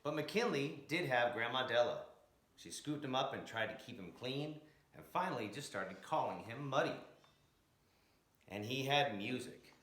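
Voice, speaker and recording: Man, picture-book storyteller, highly animated intonation, dramatic emphasis, echoey room. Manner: dramatic, emphatic delivery with drawn-out words. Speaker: storyteller, blues educator. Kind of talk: expressive picture-book read-aloud.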